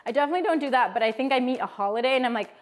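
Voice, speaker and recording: A woman's voice: high-pitched, lively intonation, rapid-fire. A woman from Mexico in her thirties, a bakery founder telling her story in casual interview chat, bubbly and laughing often.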